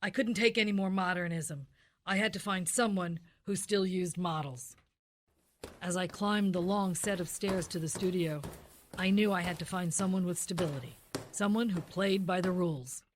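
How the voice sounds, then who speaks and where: A woman playing a deadpan, hard-boiled police detective in a radio cop-show parody, speaking in a clipped, officious way. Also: on the low side, normal speed, a little hiss or hum, lightly acted.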